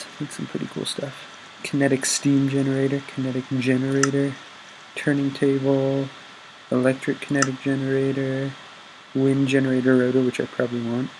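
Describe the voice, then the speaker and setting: A man's voice: mid-range voice, darkish tone, poor audio. A young man with a slightly stuffy, congested voice chats through a video game, upbeat and rambling, stopping to correct himself often. His voice sits in a medium register, recorded on a desk mic with a faint hiss underneath. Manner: rambling, upbeat, lots of ums and self-corrections. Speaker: gaming YouTuber. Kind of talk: casual Let's Play commentary.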